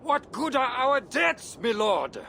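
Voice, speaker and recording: A man's voice: extremely high pitch, very animated, slow, creaky, sharp tone, faint music behind, exaggerated voice. A man playing a loyal medieval soldier in a game cutscene, anguished and pleading urgently with his lord. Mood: desperate, reproachful. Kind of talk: dramatic game cutscene acting.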